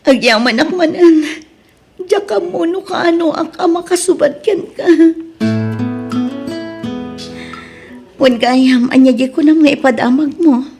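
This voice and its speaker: A woman's voice: sits high, moderate pace, darkish tone, soft background music. A woman playing a worried, debt-burdened mother in an Ilocano drama, with an Ilocano accent and a plaintive, sighing delivery. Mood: apologetic, grateful. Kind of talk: Ilocano radio drama acting.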